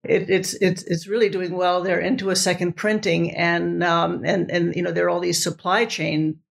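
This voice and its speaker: A woman whose first language is Italian, a professor speaking as a podcast guest, enthusiastic and halting with many restarts. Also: lowish voice, level tone, creaky. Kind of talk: podcast interview.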